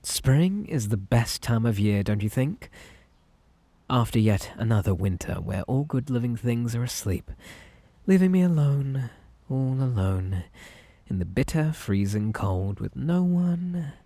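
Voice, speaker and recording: A man playing a possessive, unhinged magical bunny boy in an ASMR roleplay, with a British accent, a breathy voice and a theatrically polite, coaxing, menacing manner. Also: medium pitch, lively intonation, slow pace, warm tone, no echo. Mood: cloyingly cheerful hospitality, then wistful, lonely.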